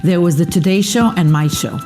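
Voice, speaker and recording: Woman telling her life story, lowish voice, music in the background. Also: a little fast, mellow. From Israel. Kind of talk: personal testimony narration. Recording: music underneath.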